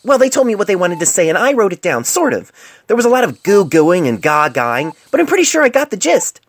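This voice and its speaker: Very high, hyper party pony. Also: female voice, sing-song, fairly quick. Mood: chirpy, excited. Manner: gushing, rambling, childlike exaggeration. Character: hyper, bubbly young female party pony. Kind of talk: fan fiction audio story, letter read aloud. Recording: noisy amateur cell phone recording.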